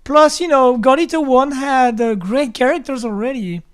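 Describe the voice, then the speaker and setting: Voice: high-pitched, normal speed, clean tone. A co-host in a let's play, talking in a laid-back way and mostly answering with short agreements. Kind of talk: casual co-op gameplay chat.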